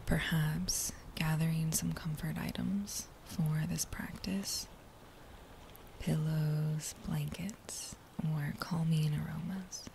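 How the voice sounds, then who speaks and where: A woman guiding a body scan meditation in a soft, breathy near-whisper, with a gentle, soothing manner and unhurried phrases. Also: low voice, steady tone. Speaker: meditation guide. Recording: faint background noise.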